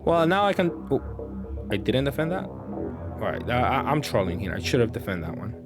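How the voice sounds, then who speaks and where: A man's voice: medium pitch, very animated, moderate pace, over music. A man giving casual, off-the-cuff commentary on his own card game matches, thinking aloud and faulting his own plays.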